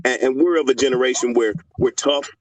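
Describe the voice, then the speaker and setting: A man's voice: medium pitch, fairly even intonation, fairly quick, no room sound. Earnest man in his late forties. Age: 40s. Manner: earnest, preachy. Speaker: live-stream panelist. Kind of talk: casual chat.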